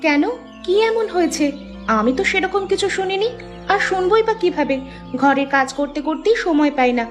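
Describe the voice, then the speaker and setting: A woman's voice: very high-pitched, lively intonation, normal speed, soft background music. A woman voicing a timid, kindly village housewife in a cartoon, speaking with concern and questions. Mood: worried, curious. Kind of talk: dramatized Bengali moral-story cartoon dub.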